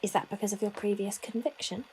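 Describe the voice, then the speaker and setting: A woman's voice: medium pitch, steady tone, quick pace, darkish tone, slight background noise, natural voice, rough recording. A woman playing a formal, probing, authoritative detective inspector in an audio drama. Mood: stern, probing. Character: stern, probing female police detective inspector leading interrogation.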